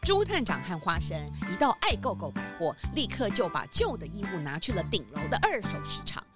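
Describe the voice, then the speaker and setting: A woman narrating a children's audio drama in an expressive storytelling style. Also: medium pitch, fairly quick. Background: music.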